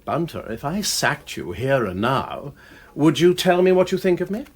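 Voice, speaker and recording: A witty English aristocrat who dabbles in detection, for a radio drama. His upper-class voice is fairly high and very animated, with a little huskiness. He banters flippantly and drawls his exclamations. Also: moderate pace, slightly rough. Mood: teasing, playful. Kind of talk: BBC radio drama acting.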